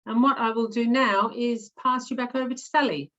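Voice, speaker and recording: A woman with a fairly high voice wraps up her part of a webinar and passes it on. Her audio comes through dull and muffled, like a video call with a weak mic, and she talks in a brisk, businesslike way. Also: fairly even intonation, a bit bright. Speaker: webinar co-presenter.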